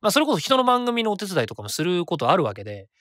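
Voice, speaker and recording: A man around forty, hosting his own web radio show in a chatty, rapid way that stays easy to follow. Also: high voice, huge pitch swings, fairly bright tone, no room sound, clean studio sound. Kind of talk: casual solo radio talk.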